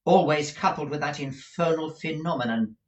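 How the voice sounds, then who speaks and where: A man's voice: on the high side, moderate pace, recorded from a distance, voice pushed up, natural voice. A man voicing an anxious, eager male pantomimist actor. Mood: complaining. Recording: roomy echo.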